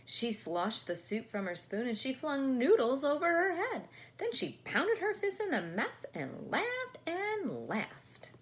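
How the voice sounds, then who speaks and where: Slow, animated woman reading a storybook. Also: sits high. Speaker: storybook reader. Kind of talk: expressive read-aloud for children. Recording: faint background noise.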